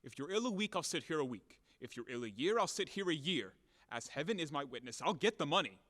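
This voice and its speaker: Casting: man acting blustery, exasperated landowner for stage farce, highish voice leaping around, reverberant hall sound. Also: big pitch swings, fast, a bit bright. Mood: stubborn, fuming. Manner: theatrical, exasperated ranting and grumbling. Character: blustering, furious, self-pitying male landowner demanding his money. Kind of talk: stage comedy acting in an audio play.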